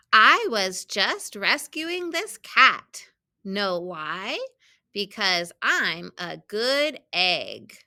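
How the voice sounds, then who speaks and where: A woman as an earnest, bright-voiced storybook egg. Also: medium pitch, exaggerated voice. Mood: cheerful, proud. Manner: expressive, deliberate read-aloud delivery with emphatic exclamations. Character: earnest, helpful, overburdened egg telling its own story. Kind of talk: children's picture book storytelling.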